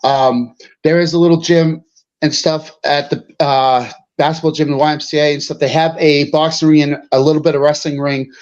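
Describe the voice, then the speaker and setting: A man, a wrestler answering interview questions in a halting, casual way, full of fillers. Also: on the high side, steady tone, darkish tone. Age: 30s. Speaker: wrestler guest. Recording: dull lo-fi line.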